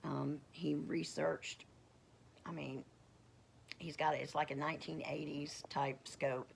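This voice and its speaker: A middle-aged woman speaks low and croaky, her voice full of gravelly fry. She tells her story casually and rambles, stopping often with fillers and restarts. A faint hiss of noise sits under the recording. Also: little pitch movement, unhurried. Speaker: homestead vlogger. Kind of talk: casual personal testimony.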